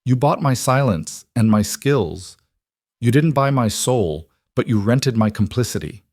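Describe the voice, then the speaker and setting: A man voicing a guarded young woman interpreter who speaks in a clipped, controlled way, slightly higher than his narration. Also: animated, a little slow, a little mellow, no echo, studio quality. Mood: tense.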